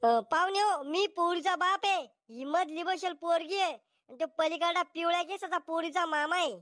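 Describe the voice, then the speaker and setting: A bride's father in a comedy cartoon, acted by a man, formal and matter-of-fact. The voice is high and the sound is dry. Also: moderate pace, a bit bright, dry recording. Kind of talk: Marathi comedy cartoon acting.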